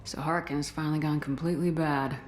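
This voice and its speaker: A woman playing a steady, principled military commander in a video game, firm and questioning in manner. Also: low voice, brisk, a little hiss or hum, natural voice. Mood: dry, alert. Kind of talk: video game character acting. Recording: music behind the voice.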